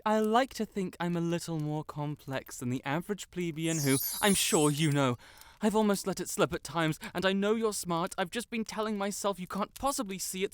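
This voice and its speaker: A man playing a proud, lovestruck wizard in a romantic audio roleplay, haughty but stammering, blurting things out and backtracking. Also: high-pitched. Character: proud, flustered tsundere wizard secretly in love.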